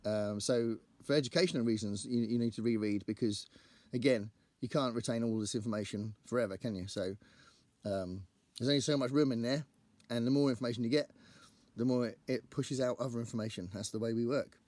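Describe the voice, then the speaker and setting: Male book vlogger, croaky and strained, medium pitch, dry close sound, chatty and digressive. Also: fairly quick, a little mellow, dry recording. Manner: chatty, rambling, thinks aloud. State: croaky voice after a singing gig. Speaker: book channel host. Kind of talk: casual to-camera book chat.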